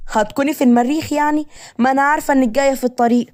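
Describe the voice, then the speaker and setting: A woman's voice: fairly even intonation, racing, a little mellow, no echo, studio quality, lightly acted. A woman voicing a frightened, sarcastic young woman in an audio story, stammering and indignant, with an Egyptian Arabic accent. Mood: impatient, exasperated. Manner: high, stammering, pleading and indignant.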